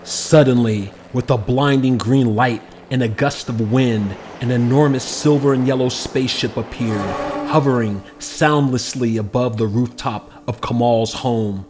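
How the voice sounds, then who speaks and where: A man reading a children's picture book aloud as an expressive, scripted narration. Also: medium pitch, a little slow, few pauses, a little hiss or hum.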